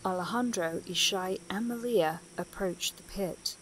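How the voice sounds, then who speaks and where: A woman's voice: mid-range voice, measured pace, a bit bright, slight background noise, rough recording. A woman narrating a scripted fantasy audio drama.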